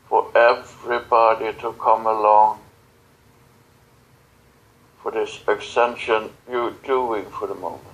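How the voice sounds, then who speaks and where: Slow, halting man channeling a spiritual message. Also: medium pitch, fairly bright tone, poor audio. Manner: halting, solemn, broken phrasing. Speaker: channeler in guided visualization session.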